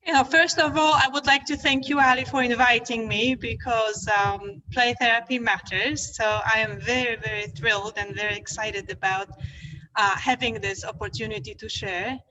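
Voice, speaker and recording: Bright-voiced middle-aged woman presenting online. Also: fairly high pitch, steady tone, normal speed, poor audio. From Poland. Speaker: presenter, play therapist and education consultant.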